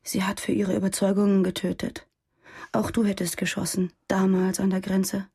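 An actress playing an earnest, idealistic former militant on the run in a German radio drama, in a husky voice. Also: female voice, mid-range voice, completely flat delivery, moderate pace. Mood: challenging. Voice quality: husky, creaky, mellow.

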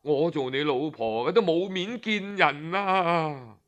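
A man voicing a coachman's sharp-tongued wife, scolding in Cantonese with deliberate, reproachful emphasis. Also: fairly high pitch, animated, muffled recording. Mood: scornful reproach.

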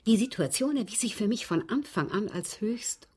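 An actress in a German radio drama playing a genteel, composed old English widow, precise and unhurried, slyly unrepentant, with a breathy, husky voice. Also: female voice, medium pitch, animated, darkish tone. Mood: wry indignation. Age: older. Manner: precise, ladylike, unhurried, evasive.

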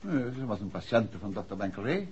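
An actor playing a shrewd, courteous detective who puts calm, measured questions in a 1960s radio drama. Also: male voice, on the low side. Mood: dry, insistent. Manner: polite, measured, probing questions. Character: shrewd, courteous male amateur detective, probing calmly. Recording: dull, muffled 1960s radio recording.

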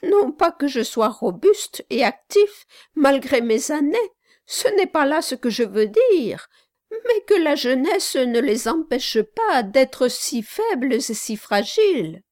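A woman reading aloud, voicing a gruff, trembling old male gravedigger. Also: sits high, big pitch swings, slow pace, pitched above the performer's own voice, exaggerated voice. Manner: gruff then gentle, trembling. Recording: dry close audiobook recording.

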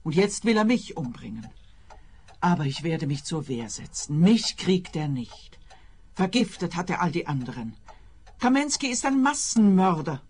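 A woman's voice: on the low side, sing-song, normal speed, a little mellow, slightly rough, heavily acted. An actress playing a paranoid, self-righteous old woman in a radio play, declaiming her lines theatrically. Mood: suspicious, indignant. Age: older. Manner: declamatory, melodramatic.

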